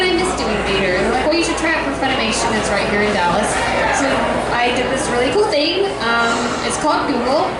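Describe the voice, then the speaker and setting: Joky woman on a panel poking fun at herself, slightly high voice, big echo and crowd noise. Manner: chatty, self-mocking, joking. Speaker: voice actor and former comic artist on a panel. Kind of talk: panel Q&A answers. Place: bookstore event space, live panel. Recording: very echoey room, loud crowd and noise.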